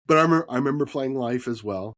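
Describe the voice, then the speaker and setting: A man hosts a podcast while getting over a cold, which leaves his voice husky. His pitch sits in the middle range for a man. The sound is dry, with little room behind it. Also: racing, a bit bright, no room sound. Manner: upbeat, often adds "right?". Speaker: podcast host. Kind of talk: casual podcast interview.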